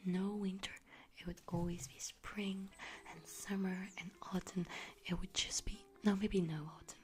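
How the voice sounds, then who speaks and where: A woman playing an anxious, scatterbrained mother goddess in an ASMR roleplay, speaking in a halting, rambling, breathy whisper and repeating herself. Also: mid-range voice, little pitch movement, darkish tone, close to an everyday voice. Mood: musing, fretful. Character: anxious, scatterbrained Greek harvest goddess, mother seeking her daughter.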